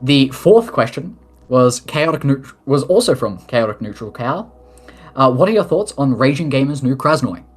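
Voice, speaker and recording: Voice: mid-range voice, sing-song, normal speed, husky. A speaker taking submitted questions in a mock-formal political address, reading official statements with casual asides. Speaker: head of government of an online role-play nation.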